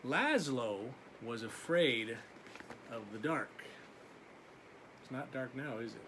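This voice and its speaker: Slow, animated man reading to children. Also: medium pitch, background noise. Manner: simple asides to young listeners. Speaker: preschool teacher reading aloud. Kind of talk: picture-book read-aloud for young children.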